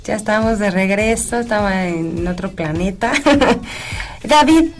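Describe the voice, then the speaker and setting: A woman's voice: medium pitch, a little slow, faint music behind. A woman with a Mexican accent hosting a radio interview, chatty, with frequent fillers and restarts. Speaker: radio show host.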